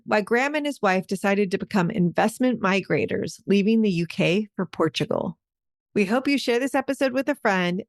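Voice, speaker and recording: A woman's voice: medium pitch, no echo. Woman, podcast co-host, upbeat, curious, dry close sound. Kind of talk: scripted segment reading and casual chat.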